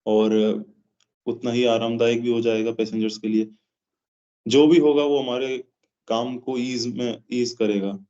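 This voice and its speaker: A man with an Indian accent explaining things in a measured way as an interview guest, pausing mid-phrase. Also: mid-range voice, fairly even intonation, warm tone. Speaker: interview guest, aviation professional. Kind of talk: explanatory interview answers in Hindi mixed with English. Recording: dull, lo-fi sound.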